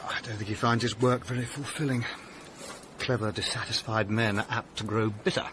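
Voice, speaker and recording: An actor playing an earnest, sporty young gentleman who is easily alarmed, in a period radio drama. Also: on the low side, fairly even intonation, a little fast, background noise, lightly acted. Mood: friendly. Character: young gentleman heir, sporty, nervous and excitable.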